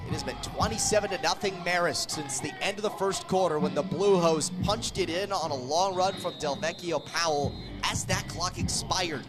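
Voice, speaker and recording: A man doing live play-by-play radio commentary of a football game, calling the action with excited energy. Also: high-pitched, normal speed, few pauses, music in the background. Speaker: play-by-play sports radio announcer.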